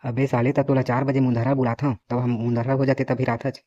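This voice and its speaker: A man's voice: medium pitch, flat delivery, quick pace, mellow, lightly acted. A man voicing a bossy, boastful snake-charmer in a comic cartoon, scolding brashly with a Chhattisgarhi accent. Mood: irritated scolding. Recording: dull lo-fi audio, faint noise.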